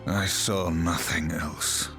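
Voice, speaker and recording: A man playing a grim, vengeful horseman of the apocalypse in a video game, speaking in a stern, terse, measured way. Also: low-pitched, fairly even intonation, music in the background. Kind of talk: dramatic video game cutscene acting. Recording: orchestral score behind voice.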